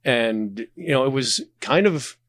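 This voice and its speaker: Man, mid-pitched voice, casual podcast guest, animated storyteller, very dry sound. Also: slow, dry recording. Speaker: podcast guest, audio drama creator.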